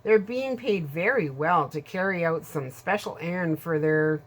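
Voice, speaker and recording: A woman's voice: lowish voice, a little slow. A woman voicing a frightened male boatman in a storybook reading, speaking in a stammering, nervous way.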